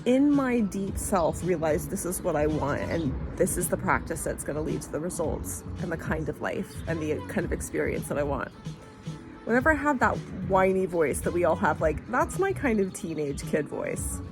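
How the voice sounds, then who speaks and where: A middle-aged woman vlogging in a gentle, reflective, conversational way, musing aloud with frequent "you know" and "like", over background music. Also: lowish voice, big pitch swings, normal speed. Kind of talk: reflective motivational talk to camera.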